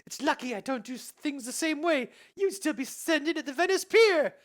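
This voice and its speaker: A man voicing a sharp-tongued young woman in a high put-on falsetto. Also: animated, moderate pace.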